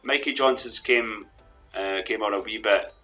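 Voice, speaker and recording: A young man commentating his own football management game in a rambling, hesitant way, his voice a little hoarse from losing it. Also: fairly low pitch, normal speed, sharp tone. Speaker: gaming commentator. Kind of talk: let's play commentary. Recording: faint background music.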